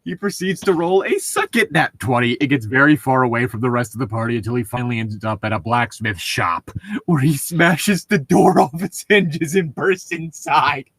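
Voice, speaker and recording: A man's voice: mid-range voice, moderate pace, fairly bright tone, breathy edge, no echo. A man casually reading tabletop gaming stories aloud as a co-host, often breaking into laughter. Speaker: podcast co-host.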